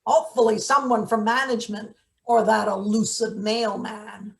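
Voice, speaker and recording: A woman playing a blunt, paranoid maintenance worker in a live play reading, with deadpan delivery. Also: mid-range voice, moderate pace, creaky, close to an everyday voice. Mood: deadpan, scheming. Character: gruff, paranoid, scheming female maintenance worker. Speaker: actor. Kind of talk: live table read of satirical play.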